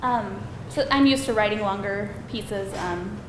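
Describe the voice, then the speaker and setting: A woman writer giving casual, modest and somewhat hesitant answers on a panel. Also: unhurried. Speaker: screenwriter and novelist on a Q&A panel. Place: live film festival event room. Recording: echoey room with clear noise, rumble.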